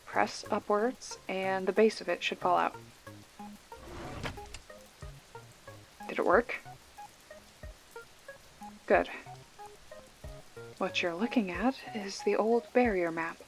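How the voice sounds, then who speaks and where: A woman's voice: mid-range voice, slow, long pauses, soft background music. A woman playing a sarcastic, foul-mouthed young video store clerk with a protective streak, talking casually and wryly in a one-sided phone call for an ASMR roleplay. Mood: urgent, focused. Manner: wry, snarky, casual with swearing.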